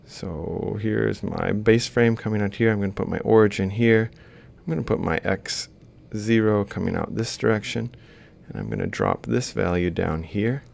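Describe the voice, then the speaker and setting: A man's voice: fairly low pitch, expressive, moderate pace, vocal fry, hardly any pauses, a little mellow. A man lecturing informally, thinking aloud as he explains step by step while drawing. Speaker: robotics lecturer.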